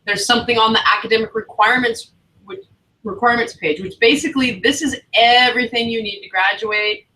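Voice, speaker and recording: A woman's voice: a little high, a little fast, sharp tone, far from the mic, low-quality recording. A woman academic advisor talking casually to new students on a livestream, chatty and encouraging. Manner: chatty, encouraging, loose phrasing.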